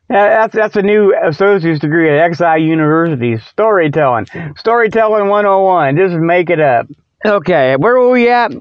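A man's voice: sits high, clear, resonant tone, no echo, muffled recording. A man hosting a comic show, rambling off the cuff in a joking, folksy way with loose colloquial grammar and chuckles at himself. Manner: colloquial rural-style grammar such as ain't and them.

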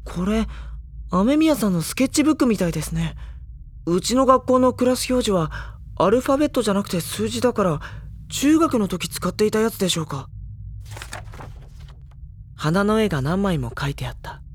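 An actor playing a mild, bookish high school boy with dry retorts, narrating and talking in an audio drama. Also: animated, moderate pace, pauses often, a little hiss or hum. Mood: puzzled, earnest.

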